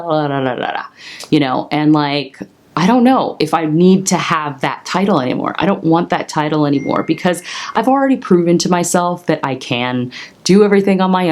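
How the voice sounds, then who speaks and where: A young adult woman with a commanding voice, telling her personal story to the camera in a candid, expressive way. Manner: expressive and candid, with lively jumps in pitch. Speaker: vlogger.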